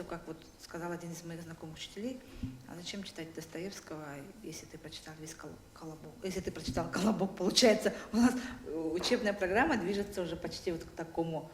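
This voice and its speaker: Fast-talking woman in an echoey hall. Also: fairly low pitch. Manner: inquisitive, seeks agreement. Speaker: round-table participant. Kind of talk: round-table discussion. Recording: roomy hall with faint noise.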